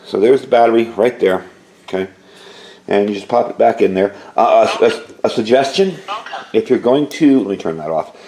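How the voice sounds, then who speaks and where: A man's voice: on the low side, moderate pace, mellow. A man giving a casual, rambling product review as a hobbyist, explaining features in a conversational way. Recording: echoey room with faint background noise.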